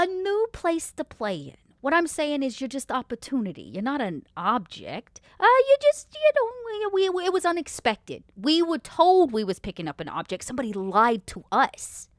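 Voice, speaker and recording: A folksy, motherly businesswoman vampire, played by a woman at a roleplay table, with a high voice that swings freely. She talks salesy and reassuring, in a dry close recording. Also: wildly swooping pitch, moderate pace, dry recording. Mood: earnest, coaxing. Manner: folksy, salesy, reassuring, calls others honey.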